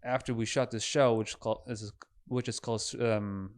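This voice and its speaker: A man's voice: fairly low pitch, steady tone, moderate pace. A man in his thirties with a German accent, telling stories casually as a podcast guest. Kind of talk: casual conversational storytelling.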